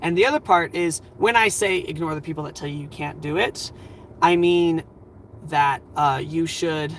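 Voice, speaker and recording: Young man, slightly high voice, scratchy and tired, halting earnest chat, faint background hiss. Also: a bit bright. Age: 20s. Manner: rambling, halting, many fillers and restarts; earnest, reassuring. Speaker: livestream host. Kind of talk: casual livestream chat giving advice.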